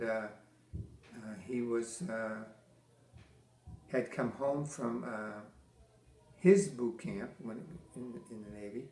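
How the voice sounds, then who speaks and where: An older man from Massachusetts, a military veteran, answering interview questions with halting restarts, many fillers and dry teasing humor. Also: fairly low pitch, darkish tone, a little hiss or hum. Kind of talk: oral history interview. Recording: echoey room.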